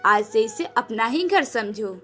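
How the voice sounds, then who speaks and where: A woman's voice: a little high, expressive, measured pace, bright, forceful tone, soft background music, voice pushed down. A woman voicing a kindly older village mother-in-law, welcoming and motherly, in a cartoon moral story. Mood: warm, welcoming. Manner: approving, motherly.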